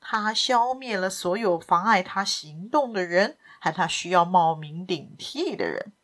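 A woman voicing a suave gentleman thief in an audio story, speaking with firm, declamatory confidence. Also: lowish voice, animated, unhurried. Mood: explaining. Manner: assured, declamatory.

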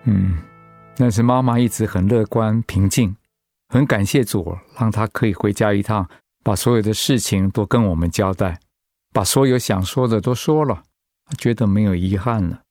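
Low, gentle middle-aged father played by an actor. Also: moderate pace, no echo, clean studio sound, soft background music, lightly acted. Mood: gentle, subdued. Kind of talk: Mandarin radio drama acting.

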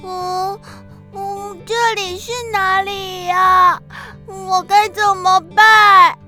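A woman playing Darkness as a lonely, timid child in a children's radio drama, plaintive and wide-eyed with drawn-out exclamations. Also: very high-pitched, flat delivery, pushed and bright, soft background music, full character voice. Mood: weary, worried.

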